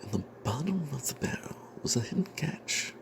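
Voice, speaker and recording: A man tells a tabletop fantasy adventure as an in-character narrator, in a breathy, hushed, secretive ASMR whisper with dramatic pauses. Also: mid-range voice, very animated, background noise. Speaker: narrator telling the tale in character as a cleric.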